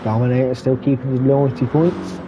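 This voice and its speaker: A man giving relaxed running commentary on a sim race, stuffy and muffled from a cold. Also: mid-range voice, brisk. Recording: muffled lo-fi recording with background noise.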